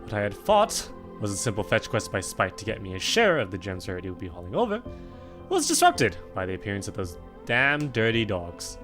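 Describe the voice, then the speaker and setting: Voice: low voice, huge pitch swings, brisk, fairly bright tone, faint music behind, full character voice. A performer voicing an exasperated, sarcastic, scholarly pony in a parody cartoon, dry, irritable and wordy. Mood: wry narrating. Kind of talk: fan parody cartoon dub.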